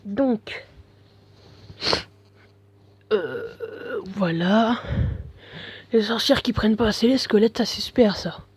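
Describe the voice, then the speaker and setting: A man's voice: high-pitched, slow pace, pauses often, breathy edge, no echo. A man with a bad head cold giving casual, mumbling commentary over gameplay, full of slang and fillers.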